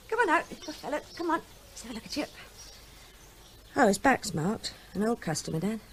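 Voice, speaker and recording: An actress playing a vet's playful grown daughter in a vintage radio drama, young and animated. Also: female voice, fairly high pitch, moderate pace, slight background noise. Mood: lively. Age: young adult. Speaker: Tess, the daughter.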